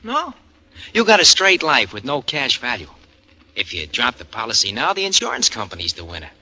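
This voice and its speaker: An actor playing a scheming grown son in a 1940s radio drama, wheedling and slangy, tagging lines with huh and no. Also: male voice, mid-range voice, wildly swooping pitch, a little fast, bright, forceful tone, heavily acted. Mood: slick, persuasive. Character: slick, scheming, pushy grown son, small-time hustler. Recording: noisy old radio broadcast recording.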